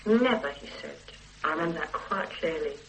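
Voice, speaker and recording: A witness answering police questions in an old radio play. Her voice is bright and her delivery careful and measured as she recalls what was said. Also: sits low, lively intonation, creaky, a little hiss or hum, full character voice. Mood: recalling. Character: middle-aged woman witness recalling a phone call. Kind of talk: 1970s radio drama acting.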